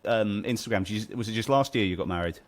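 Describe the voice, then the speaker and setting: A man's voice: lowish voice, fast, no room sound. A man hosting an interview podcast, asking questions in a chatty, meandering way. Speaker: podcast host. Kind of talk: casual interview chat.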